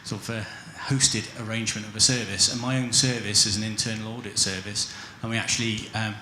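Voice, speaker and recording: A man's voice: on the low side, level tone, brisk, echoey room, background noise. A man introducing himself on a conference panel, modest and rambling, with frequent ums and uhs. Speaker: head of internal audit, panelist. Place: conference hall.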